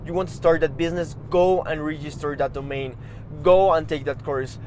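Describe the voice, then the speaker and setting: A man's voice: a little high, steady tone, moderate pace, a little mellow. A man from Mexico with a Mexican Spanish accent, a non-native English speaker hosting a motivational podcast, earnest and urging, often repeating and restarting words. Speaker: podcast host. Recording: background street noise with passing cars.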